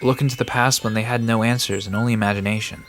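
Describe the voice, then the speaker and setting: A laid-back, bookish storyteller played by a man in an audio drama. His medium-pitched voice explains old legends in a casual, dry way, like chatting with a friend. Faint music plays behind him. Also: steady tone, fast talker, a bit bright, natural voice. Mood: reassuring, explanatory. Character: Sam, laid-back bookish male myth-telling park resident.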